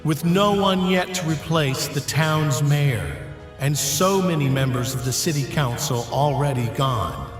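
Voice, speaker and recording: A man's voice: medium pitch, close to an everyday voice. A man playing a grave, pious medieval bishop who preaches solemnly in a declamatory way during a plague, in an audio drama. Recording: roomy church-like echo, music behind.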